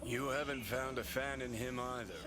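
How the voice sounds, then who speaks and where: An actor voicing a sneering, foul-mouthed rebel rocker in a video game. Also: male voice, mid-range voice, steady tone, normal speed, crowd noise, close to an everyday voice. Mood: scornful. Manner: profane, scornful.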